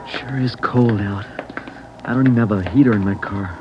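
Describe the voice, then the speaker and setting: An actor playing a nervous young gang leader turned informant in a mid-century radio crime drama, talking in uneasy slang. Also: male voice, on the low side, steady tone, moderate pace, close to an everyday voice. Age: young adult. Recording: dull, lightly noisy old radio broadcast.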